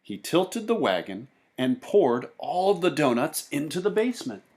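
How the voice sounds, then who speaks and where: A man reading a children's story aloud in a theatrical, expressive way. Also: on the high side, normal speed. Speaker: storybook reader. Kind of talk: animated children's story narration.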